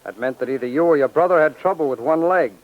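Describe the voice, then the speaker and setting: Man acting a rapid-fire, stern radio G-man. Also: on the high side, lightly acted. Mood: stern, matter-of-fact. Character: stern, businesslike male FBI special agent. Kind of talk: 1940s radio crime drama acting. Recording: muffled, noisy 1940s radio recording.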